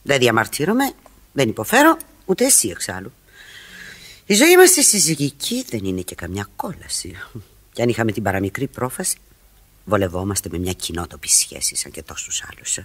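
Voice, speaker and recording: An actress playing a discontented, ironic and resigned married woman in a 1960s radio play, sighing and dropping into breathy murmurs. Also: very deep voice, very animated, exaggerated voice. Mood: wry indignation.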